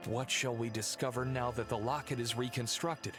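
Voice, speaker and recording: A male actor voices the mournful heir of a cursed family in a mystery game. His voice is mid-pitched. He explains earnestly and solemnly, with prominent music behind him. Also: steady tone, moderate pace, lightly acted. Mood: earnest, determined. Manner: earnest, expository. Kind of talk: video game cutscene acting. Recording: loud music under the voice.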